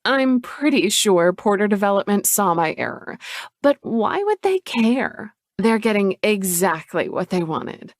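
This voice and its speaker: A woman's voice: medium pitch, very animated, a little slow, no room sound, clean studio sound. A woman reading a novel aloud, voicing a scornful, defiant adult sister in a raised, sneering voice. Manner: sneering, dismissive.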